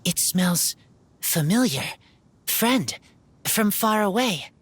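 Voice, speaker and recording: A woman playing a shy wolf-raised teenage boy in an anime game, speaking tersely in halting, broken sentences. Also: mid-range voice, animated, a little hiss or hum. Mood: earnest, halting. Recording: music behind the voice.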